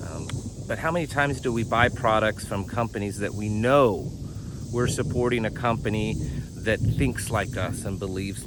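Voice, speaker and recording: A man hosting his own channel, talking casually with a raspy, worn-out voice and many fillers and restarts. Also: lowish voice, background noise. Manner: rambling, frequent fillers and restarts. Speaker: channel host. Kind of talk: casual talk to camera.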